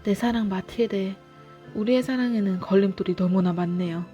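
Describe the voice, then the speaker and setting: A woman's voice: medium pitch, normal speed, mellow, dull audio, faint music behind, close to an everyday voice. A woman voicing a lovestruck young man in a storybook, earnest and emotional. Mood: tender.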